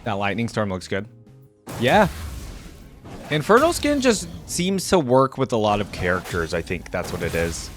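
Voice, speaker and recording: Male reaction host, casual and unscripted, lively swings in pitch, faint music behind him. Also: normal speed. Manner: informal, thinking aloud.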